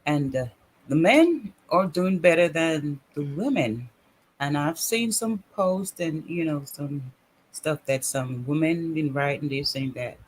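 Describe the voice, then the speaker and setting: A man hosting an interview who works in insurance and mortgages, asking questions hesitantly with many pauses. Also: fairly high pitch, slight background noise, low-quality recording. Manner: hesitant, encouraging backchannels. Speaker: radio host, insurance and mortgage professional. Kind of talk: casual interview.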